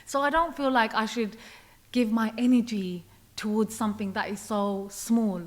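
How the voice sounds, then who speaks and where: Young woman guest, even and unhurried, relaxed interview chat. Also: a little high. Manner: calm, reflective, often quotes herself. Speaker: podcast guest.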